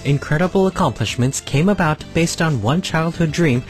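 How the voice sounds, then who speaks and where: Calm male documentary narrator over music. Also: fairly high pitch, normal speed. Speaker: program narrator. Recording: faint music underneath.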